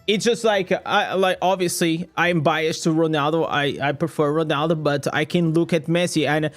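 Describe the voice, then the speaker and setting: Yo portuguese dude streaming, high pitched, swears a lot, keeps restarting, soft music under it. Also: male voice, steady tone, moderate pace. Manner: rambling, swears often, many restarts. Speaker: streamer reading chat. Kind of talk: casual live stream chat.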